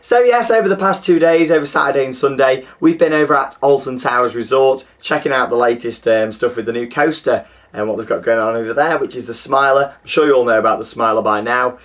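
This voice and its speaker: A young man hosting a theme park news show, his voice groggy and hoarse, chatting enthusiastically with plenty of fillers. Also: mid-range voice, a bit bright. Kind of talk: casual scripted news presenting.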